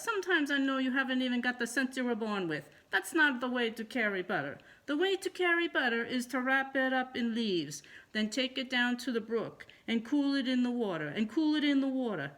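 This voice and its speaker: A woman voicing an exasperated mother who scolds her young son, emphatic and shrill. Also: a bit bright.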